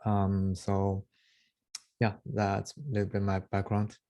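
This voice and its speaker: A man's voice: deep voice, monotone, slow pace, mellow. A man from China introducing himself briefly as a mentor on a video call, understated.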